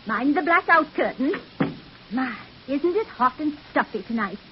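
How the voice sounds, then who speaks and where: An actress in a 1940s radio drama playing a proper, earnest woman, talkative and quick to fret. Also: high-pitched, expressive. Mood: brisk, chatty. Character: brisk, chatty, earnest woman in 1940s thriller. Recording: hissy, dull 1940s radio recording.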